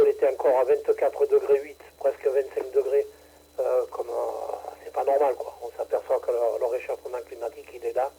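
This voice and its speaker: A man's voice: mid-range voice, mellow, husky, low-quality recording. A man running a ham radio net, chatting casually and hesitantly with many fillers, from southern France. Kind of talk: casual on-air radio chat.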